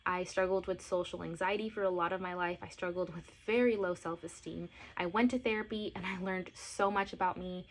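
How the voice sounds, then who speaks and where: A woman's voice: on the low side, steady tone, a little fast, few pauses, rough recording. A woman in her late twenties talking to camera in a casual vlog, gushing and a little teary, with many fillers. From born in Costa Rica, grew up in Miami. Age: 20s. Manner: emotional, gushing, heavy on fillers. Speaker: lifestyle and mom vlogger.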